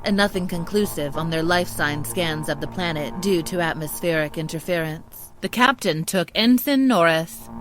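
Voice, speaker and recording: A woman's voice: on the low side, poor audio. A woman reading scripted dramatic narration as the narrator of an audio drama. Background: music.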